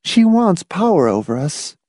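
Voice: mid-range voice, sing-song, measured pace, mellow, no room sound, clean studio sound, voice pushed up. A narrator voicing an anxious, pleading wife in an audiobook, with shaky, insistent questioning.

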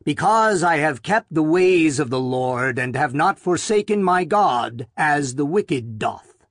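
Man as a commanding, clear-voiced, solemn priest. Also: a little high, expressive, no room sound, clean studio sound. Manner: measured, declamatory. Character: priest solemnly reading a psalm aloud at graveside. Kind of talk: audiobook character voice.

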